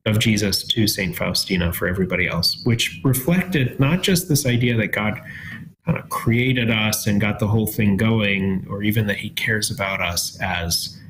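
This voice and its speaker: A man in his thirties sharing his personal faith testimony as a guest, thoughtful and searching for words with frequent pauses. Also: lowish voice, fairly bright tone, a little hiss or hum, poor audio. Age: 30s.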